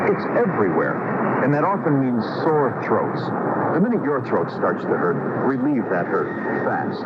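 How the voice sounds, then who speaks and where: A man reading a punchy scripted commercial as an announcer on 1980s radio. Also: mid-range voice. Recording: noisy, dull lo-fi 1980s radio broadcast.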